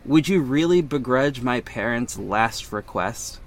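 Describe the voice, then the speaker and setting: A man's voice: medium pitch, animated, unhurried, slight background noise, natural voice. A man playing a wealthy orphaned young hero in an audio drama, guarded and earnest, with dry sarcasm and a defensive edge. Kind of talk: first-person audio drama narration and dialogue.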